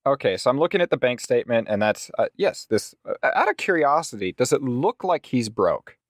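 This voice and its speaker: A man plays a tabletop role-playing game in casual chat. His voice sits at a medium pitch and swings expressively up and down. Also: very animated, fairly quick, no room sound, clean studio sound.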